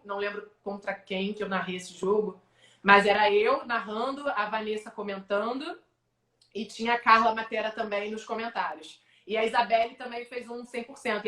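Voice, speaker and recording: Brazilian woman, sports announcer, fairly high voice, lively storytelling, echoey room. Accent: Brazilian Portuguese. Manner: animated storyteller, quick asides. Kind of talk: casual interview over a live stream. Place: home, online video call.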